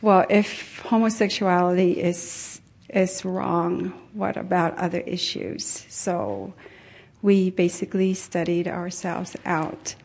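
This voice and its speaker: Middle aged woman from tonga telling her story to a group, really slow n halting. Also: fairly low pitch, flat delivery, gravelly, a little mellow. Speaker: attendee sharing personal story at a conference. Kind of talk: informal personal testimony to a group.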